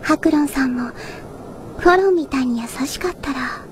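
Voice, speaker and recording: An actress playing a sweet, troubled teenage girl in an audio drama, soft, hesitant and wistful. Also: high-pitched, moderate pace, a little hiss or hum, lightly acted. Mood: wistful, confused. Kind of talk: anime-style audio drama acting.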